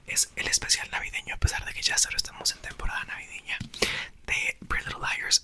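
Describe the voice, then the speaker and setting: A young man, whispering softly into a close mic as he chats about a book for ASMR. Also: medium pitch. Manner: soft whisper throughout. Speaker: ASMR creator. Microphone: small mic clipped to a doll's hair.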